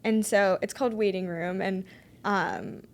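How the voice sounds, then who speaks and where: A woman's voice: medium pitch, steady tone, measured pace. A young woman songwriter answering interview questions in a hesitant, casual way, with many ums and likes. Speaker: guest songwriter.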